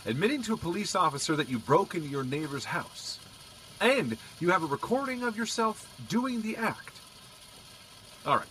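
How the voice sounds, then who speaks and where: Wry, lively police officer. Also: male voice, high voice, moderate pace, a little hiss or hum. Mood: teasing. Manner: dry, joking, conversational. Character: wry, teasing male police officer, casually skeptical. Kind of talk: naturalistic audio drama acting.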